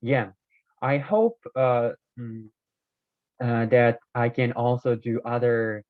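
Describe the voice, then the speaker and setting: A man whose first language is not Korean, explaining Korean grammar in a casual video lesson, hesitant with many fillers and self-corrections, his voice a little hoarse. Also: medium pitch, takes it slowly, no room sound. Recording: dull, dry lo-fi recording.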